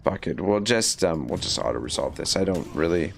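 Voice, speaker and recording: A man with a gravelly, slightly low voice that sounds scratchy. He chats loosely while he plays, wandering through thoughts with plenty of ums and restarts. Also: expressive, a little fast. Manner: rambling, many fillers and restarts. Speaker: livestream gamer. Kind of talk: casual livestream commentary.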